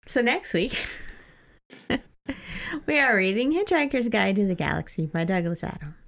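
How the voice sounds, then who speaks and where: A woman's voice: medium pitch, sing-song, moderate pace, gravelly. Casting: female podcast co-host, mid-range voice, casual book chat, irreverent and loose with swearing.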